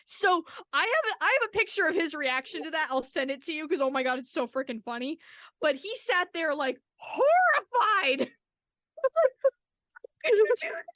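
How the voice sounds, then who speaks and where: A woman's voice: extremely high pitch, big pitch swings, normal speed, a bit bright, no echo, clean studio sound. A woman podcast guest telling stories casually, with a gushing, dramatic fan's delivery. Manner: gushing, giggly, dramatic retelling. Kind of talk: casual, animated fan storytelling.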